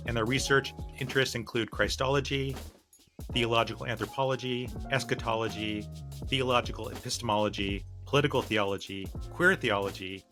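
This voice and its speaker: A man hosting a podcast interview, reading a guest introduction and asking questions in an upbeat manner. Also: mid-range voice. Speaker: podcast host.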